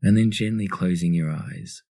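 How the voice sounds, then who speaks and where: Very deep, dark-toned male meditation guide. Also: normal speed, no echo, clean studio sound. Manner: calm, soothing, instructional.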